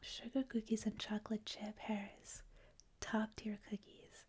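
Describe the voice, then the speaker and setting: Woman, Canadian accent, native French speaker, breathy whisper, even pitch, casual soft-spoken ASMR livestream chat. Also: unhurried. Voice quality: whispery, hushed. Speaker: livestream host.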